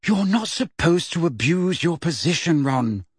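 A man voicing a bossy, principled teenage witch girl with a sharp, indignant manner in an audiobook story. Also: high-pitched, animated, a little slow, husky, no room sound, clean studio sound, voice pushed up, exaggerated voice.

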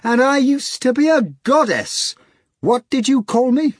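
A man voicing a brash young woman, a maid turned witch, shrill and gleeful. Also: a little slow, breathy edge, no echo, clean studio sound, full character voice. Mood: triumphant.

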